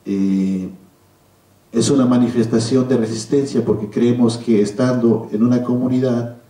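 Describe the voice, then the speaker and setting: A middle-aged man with a Mexican accent speaking at a public round table, measured and hesitant with frequent pauses. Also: mid-range voice, fairly even intonation, dark tone. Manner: measured, hesitant, pausing often. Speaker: panel speaker. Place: cinema auditorium stage. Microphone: handheld PA microphone, filmed from audience. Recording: echoey hall, distant camera microphone.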